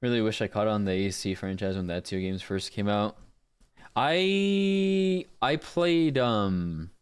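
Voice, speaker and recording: A man's voice: on the low side, animated, a little slow, clean tone. A man who streams voice acting, talking casually and informally to his live viewers. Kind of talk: casual livestream chat.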